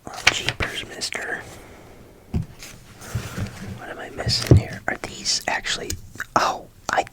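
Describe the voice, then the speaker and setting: Slow, breathy whispered ASMR voice. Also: pushed and bright. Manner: playful, mutters and repeats phrases to self. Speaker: host of a build-along video. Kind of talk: whispered ASMR, casual rambling chat while assembling.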